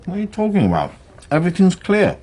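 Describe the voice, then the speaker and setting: Brisk, expressive police detective. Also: male voice, sits high, slight background noise. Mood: dismissive. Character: brisk, dismissive male police detective at his desk. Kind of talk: radio drama dialogue.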